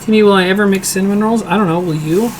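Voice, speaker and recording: A hoarse man hosting a casual cooking livestream from his home kitchen, chatty and self-deprecating, answering viewers aloud as he works. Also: sits high, very fast, a little hiss or hum. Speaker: streaming cooking show host.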